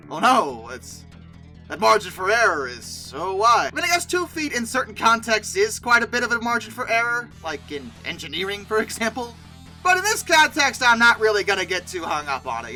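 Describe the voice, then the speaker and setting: A host giving casual, opinionated commentary in an informal, chatty way, expressive and given to exasperated mock disbelief. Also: medium pitch. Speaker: video host and narrator.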